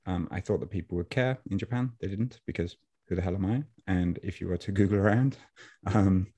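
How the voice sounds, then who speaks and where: A man who coaches fitness online, talking as a podcast guest over a video call and telling stories in a loose, animated way with laughs. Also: sits low, brisk, husky. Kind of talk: casual storytelling interview. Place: Japan.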